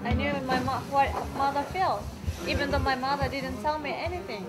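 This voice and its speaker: A woman's voice: high voice, a little fast. A woman answering interview questions in a reflective, hesitant way. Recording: loud music behind.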